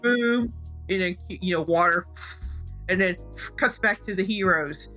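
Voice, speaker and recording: A woman's voice: fairly low pitch, a little slow, bright, forceful tone. A woman co-hosting a casual advice talk, speaking informally and conversationally. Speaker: co-host of a game-making show. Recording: music behind the voice. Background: background music.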